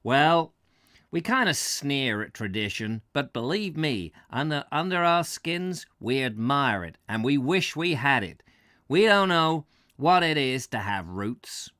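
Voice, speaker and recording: A man's voice: medium pitch, big pitch swings, measured pace, pushed and bright, no echo, studio quality. A man voicing a chatty middle-aged American tourist with an American accent, slangy and enthusiastic. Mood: earnest.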